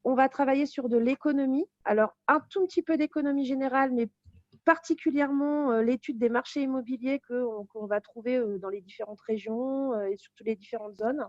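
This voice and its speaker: A woman's voice: sits high, fairly quick, darkish tone, no echo, highs cut off. A woman giving an informal, explanatory presentation of a study programme as a teacher. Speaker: teacher presenting a real estate course.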